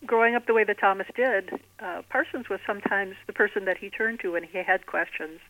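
A woman speaking as an author guest in an interview, explaining things articulately in measured phrases. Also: medium pitch, dry recording, phone-quality sound. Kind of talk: interview conversation.

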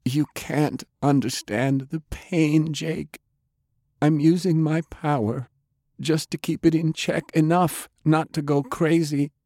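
A man's voice: fairly high pitch, steady tone, takes it slowly, mellow, dry recording, clean studio sound, voice pushed up. A man voicing a sorrowful, pleading undead woman, tender yet resolute, in a dramatic audiobook style. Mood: pained, resigned. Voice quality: trembling.

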